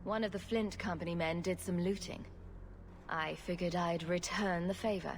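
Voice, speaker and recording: An actress playing a wry, loyal rogue heroine in a video game, with a dry, composed delivery. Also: female voice, on the low side, steady tone, normal speed, noisy background, lightly acted. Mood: sincere. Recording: music behind.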